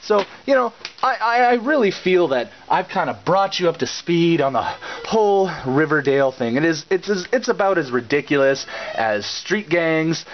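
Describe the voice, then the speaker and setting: Sarcastic man with swooping, animated pitch. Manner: sarcastic, theatrical, halting with repeated words. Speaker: reviewer and puppet-show host. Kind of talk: satirical rant and recap. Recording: slightly dull lo-fi audio.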